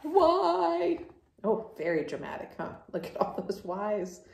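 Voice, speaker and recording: A woman's voice: lowish voice, moderate pace, vocal fry, a little mellow. A woman reading a picture book to children, adding chatty asides and questions in an echoey room indoors. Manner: addresses young listeners with questions. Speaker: teacher reading aloud to children.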